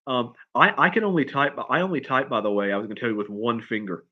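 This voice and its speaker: A man chatting casually on a livestream as a writer answering questions, in a halting, rambling way, often repeating and restarting his phrases. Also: mid-range voice, dull audio. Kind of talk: casual unscripted chat, answering viewer questions.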